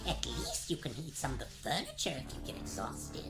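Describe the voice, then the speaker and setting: A woman's voice: rumbling low, wildly swooping pitch, a little fast, creaky, loud music behind the voice, voice pushed down, full character voice. A woman voicing a crabby, half-blind old witch in a comedic radio play, sly, blustering and snappish. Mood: sly, wheedling. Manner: wheedling endearments, flustered bluster, snappish. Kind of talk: comedic radio play, gag-dub cartoon acting.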